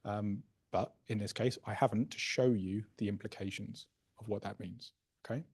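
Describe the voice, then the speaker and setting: A man presenting a software workshop, casually explaining a live demo and often checking in with "right?" and "okay?". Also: on the low side, steady tone, normal speed, husky. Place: workshop room.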